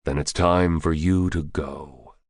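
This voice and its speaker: A man's voice: extremely low pitch, fairly even intonation, slow, no echo, studio quality, exaggerated voice. A man voicing a curt, commanding soldier. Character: terse, commanding male soldier operative. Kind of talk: audiobook character voice.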